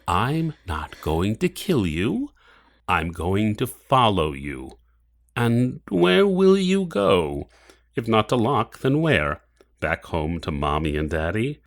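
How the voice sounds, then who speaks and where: An actor playing a cold, mocking villain in an audio drama, speaking in a measured, formal, taunting way. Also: male voice, fairly low pitch, big pitch swings, full character voice. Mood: cold menace. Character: menacing, cold, mocking villain, adult man.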